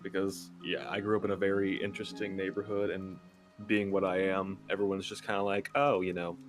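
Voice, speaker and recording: A man's voice: deep voice, little pitch movement, a little fast. A young man chatting casually as a stream guest, telling personal stories in a candid, hesitant, self-deprecating way. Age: young adult. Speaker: ASMR creator and stream guest. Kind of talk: casual chat, personal anecdotes. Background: background music.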